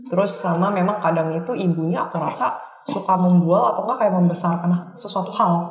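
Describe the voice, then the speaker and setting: A woman's voice: fairly low pitch, brisk. A woman with an Indonesian accent explaining things in casual conversation as a psychic reader on a talk show, informally mixing in English words. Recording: roomy, echoing voice recording.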